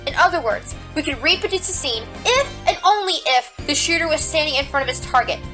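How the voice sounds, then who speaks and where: Rabbit cop-turned-lawyer, female performer: high voice, earnest, stressed, audio drama, roomy distant sound. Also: animated, a little fast, bright, forceful tone. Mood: assertive, arguing. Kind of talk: fan audio drama acting. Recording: echoey, off mic, music underneath.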